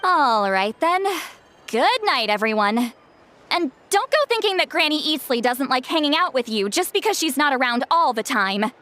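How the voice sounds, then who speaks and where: An actress playing a kindly, helpful tribeswoman in a fantasy video game, gentle and informative. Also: sits high, sing-song, moderate pace, exaggerated voice. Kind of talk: video game character acting.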